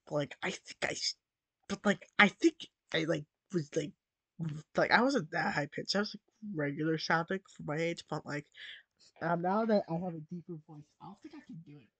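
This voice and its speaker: A man's voice: on the high side, moderate pace, husky, no room sound. A boy in his early teens rambling casually through commentary over a screen recording, full of "like" and "dude". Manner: rambling, distracted, lots of "like" and "dude". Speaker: channel host. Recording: loud background music.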